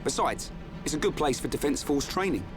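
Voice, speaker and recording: A man's voice: fairly high pitch, expressive, a little fast, close to an everyday voice. An actor voicing a bluff, confident young male soldier in a video game cutscene, talking bluntly and casually. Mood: matter-of-fact. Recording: music behind the voice. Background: music.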